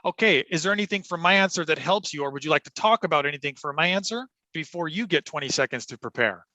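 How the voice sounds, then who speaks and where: Bright-voiced upbeat male coach. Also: a little high, animated, no room sound. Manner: upbeat and encouraging, clear deliberate delivery. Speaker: TOEFL speaking coach. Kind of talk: livestream practice session, thinking aloud and modeling exam answers.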